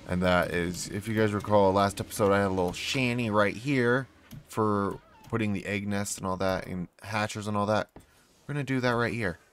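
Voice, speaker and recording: A man with a slightly hoarse, failing voice, casually rambling as he plays and talks to himself and his creatures. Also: lowish voice, steady tone, moderate pace, a bit bright. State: losing his voice, sore throat. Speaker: gaming YouTuber. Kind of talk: casual gameplay commentary.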